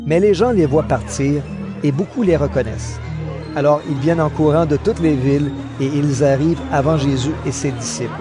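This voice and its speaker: A man giving scripted narration for an audio Bible drama in a measured storytelling manner. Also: a little high. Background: background music.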